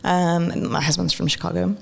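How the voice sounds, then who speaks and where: A woman from Wales, a guest in a relaxed interview, chatty, laughing often and filling her talk with ums and restarts. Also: on the low side, normal speed, gravelly, breathy edge, big-room sound. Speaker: guest, maker and educator. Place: event venue at a festival.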